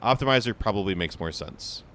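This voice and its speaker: A young man with a hoarse voice, chatting casually as he plays a game for his channel, rambling with frequent restarts. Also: fairly low pitch, expressive, fairly quick, a bit bright, a little hiss or hum. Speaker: gaming channel host. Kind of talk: casual gameplay commentary.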